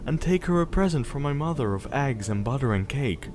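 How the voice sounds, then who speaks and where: A man doing a sweet little girl's high voice. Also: lively intonation, brisk, close to an everyday voice. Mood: cheerful. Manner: high and bright, far above his own voice. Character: sweet, kind little girl.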